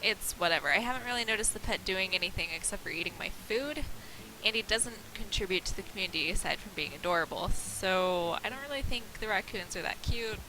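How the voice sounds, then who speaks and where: Nasal woman, mid pitch, slight cold; rambling, self-mocking let's play host; noisy desk-mic recording. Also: expressive, fairly quick. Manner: chatty, self-deprecating, rambling. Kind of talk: casual gameplay commentary.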